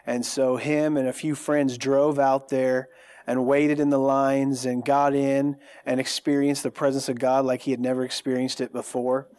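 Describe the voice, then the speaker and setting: A man's voice: medium pitch, flat delivery, normal speed, darkish tone, clean tone. A man in his thirties from California with an American accent, telling a personal story as a guest in a TV studio interview. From California, United States. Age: 30s. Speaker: worship leader, programme guest. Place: TV studio, seated on a sofa. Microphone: lapel mic.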